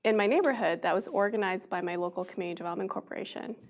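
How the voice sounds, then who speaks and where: American woman interview guest, medium pitch, reflective and explanatory, conversational delivery. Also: racing. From Boston, United States.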